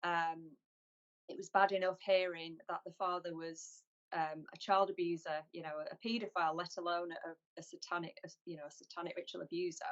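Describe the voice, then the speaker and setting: A woman from North West England, a guest on a video call interview, speaking in an earnest, measured way. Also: on the low side, little pitch movement, a bit bright. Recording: lo-fi call audio.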